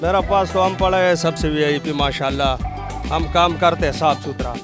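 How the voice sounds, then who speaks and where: A man's voice: on the high side, fairly even intonation, moderate pace. A Pakistani man in his thirties talking informally into a handheld microphone as a fruit seller at a street stall. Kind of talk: informal on-camera interview reply. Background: music.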